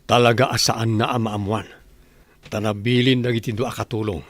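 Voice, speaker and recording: A man with an Ilocano accent playing a worried middle-aged father in a radio drama, speaking gravely. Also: fairly low pitch, little pitch movement, moderate pace, no echo, lightly acted. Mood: earnest.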